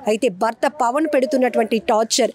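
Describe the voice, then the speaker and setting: A woman's voice: fairly high pitch, brisk, noisy background. A woman news presenter narrating in a solemn, earnest, emotive way, appealing to viewers.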